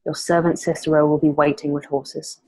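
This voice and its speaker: A woman's voice: low voice, flat delivery, brisk, a little mellow, husky, low-quality recording. A woman acting an anxious, weary Roman princess, earnest and guarded, in an amateur radio drama. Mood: urgent, secretive.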